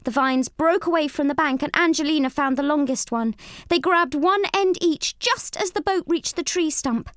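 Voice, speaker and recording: A woman narrating a children's storybook read-along in an expressive, scripted style. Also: high voice, normal speed, dry recording, studio quality.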